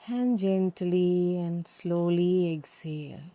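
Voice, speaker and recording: Slow, mellow-voiced woman guiding meditation. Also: lowish voice. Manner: slow, calm, soothing instructions with long pauses. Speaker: yoga nidra teacher. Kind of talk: guided meditation.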